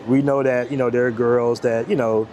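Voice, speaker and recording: A man's voice: medium pitch, little pitch movement, moderate pace, mellow, muffled recording, slight background noise. A man hosting a casual interview, talking in a conversational, emphatic way. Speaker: host.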